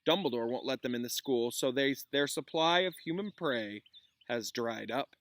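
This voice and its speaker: A man's voice: medium pitch, normal speed, fairly bright tone, close to an everyday voice. A man voicing a grave, kindly young wizard professor in a storybook read-aloud, solemn and emphatic. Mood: cool, grim.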